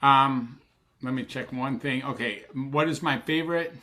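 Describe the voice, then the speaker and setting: Older male psychiatrist guest, casual rambling chat, warm enthusiasm, lots of ums. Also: mid-range voice, a little slow. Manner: rambling, enthusiastic, many fillers and restarts. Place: Sacramento, USA.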